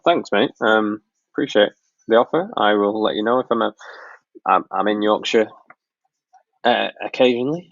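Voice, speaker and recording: Low gravelly Lancashire man, casual. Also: no echo, dull audio. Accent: Northern English (Lancashire). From Blackpool, Lancashire, northwest England. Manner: relaxed, rambling, frequent ums and restarts. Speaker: wedding filmmaker hosting a live Q&A. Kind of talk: casual live-stream Q&A, reading out chat questions.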